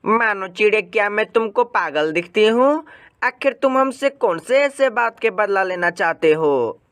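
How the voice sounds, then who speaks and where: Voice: mid-range voice, animated, unhurried, a bit bright, clear, resonant tone, no room sound. A narrator voicing an angry, distrustful sparrow wife in a cartoon moral story. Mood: angry accusing.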